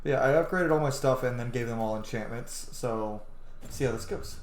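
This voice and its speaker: A man's voice: mid-range voice, fairly quick, reverberant. A man giving casual, rambling, opinionated gameplay commentary as a streamer, swearing freely. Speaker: streamer playing a video game.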